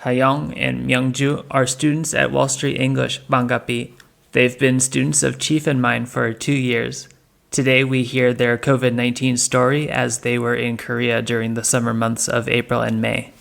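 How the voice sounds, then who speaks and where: Very deep-voiced woman host with creaky voice. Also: little pitch movement, normal speed. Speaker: podcast host. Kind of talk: conversational interview.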